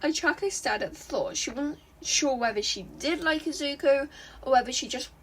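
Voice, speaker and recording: A woman reading fan fiction aloud as an expressive narrator. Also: high voice, moderate pace, recorded from a distance.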